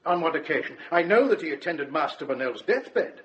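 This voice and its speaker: An actor playing a stern, lofty abbey prior in a radio drama, formal and authoritative. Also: male voice, a little high, sing-song, brisk, dull audio, a little hiss or hum.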